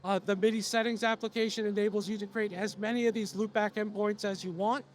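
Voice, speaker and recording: A man losing his voice, presenting software features to an audience at a trade show, explaining steadily with frequent filler sounds. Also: high voice, little pitch movement, fast, a little hiss or hum. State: losing his voice, coughing now and then.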